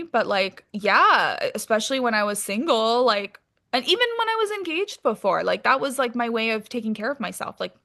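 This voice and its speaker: A woman's voice: mid-range voice, bright, forceful tone. A woman being interviewed as a guest, talking casually and candidly with informal language and some swearing.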